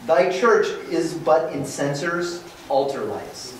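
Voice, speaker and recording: A man's voice: a little high, takes it slowly. A man playing an impassioned, devout rebel holy man in a dramatic reading of an archaic verse play, declaiming his lines. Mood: impassioned, defiant. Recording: echoey conference room, distant, faint noise.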